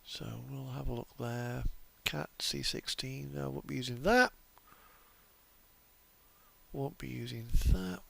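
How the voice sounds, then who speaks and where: Male game streamer, unhurried and gravelly, hesitant off-the-cuff commentary, murmuring as he thinks aloud. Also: mid-range voice, bright, forceful tone, no room sound. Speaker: livestreamer, video game host. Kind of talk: casual unscripted gameplay commentary.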